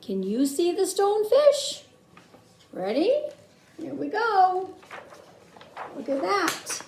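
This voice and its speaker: A woman's voice: extremely high pitch, sing-song, takes it slowly, darkish tone. A woman reading a children's picture book aloud expressively, with questions and asides to young listeners. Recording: roomy echo, faint background noise.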